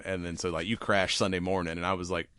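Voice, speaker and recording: A man co-hosting a podcast, chatting casually and rambling with laughs, his voice raspy and worn. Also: low-pitched, flat delivery, fast, a bit bright, dry recording. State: raspy, voice worn from cigars and whiskey. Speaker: podcast co-host. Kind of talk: casual chat.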